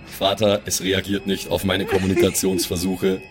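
Man playing a formal, low-voiced robot son. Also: completely flat delivery, moderate pace, music in the background, natural voice. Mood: formal, puzzled. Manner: formal, mechanically factual phrasing. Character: formal literal robot son addressing his father. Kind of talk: improvised audio drama roleplay.

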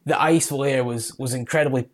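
A young Scottish man speaks in a medium-pitched voice with only a light accent. He gives casual, conversational commentary as a podcast guest. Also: fairly even intonation, normal speed. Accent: mild Scottish. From Scotland. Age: young adult. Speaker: motorsport writer, podcast guest.

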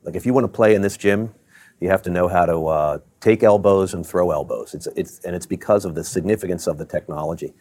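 Middle-aged exec from Maryland, very low voice, dry close sound, measured delivery with fillers. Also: male voice, a little mellow, dry recording. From Baltimore, Maryland. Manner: measured, many fillers and restarts. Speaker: tech company CEO guest. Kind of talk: business interview answers.

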